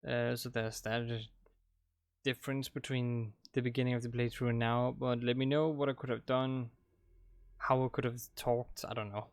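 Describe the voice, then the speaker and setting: A young man speaks English with a Danish accent, his voice gravelly with a touch of fry. He rambles casually and hesitantly, full of ums and restarts. The sound is close and dry, with no room around it. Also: mid-range voice, level tone, normal speed, no echo. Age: young adult. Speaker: gaming channel host. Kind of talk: casual commentary wrapping up a playthrough. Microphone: desk microphone.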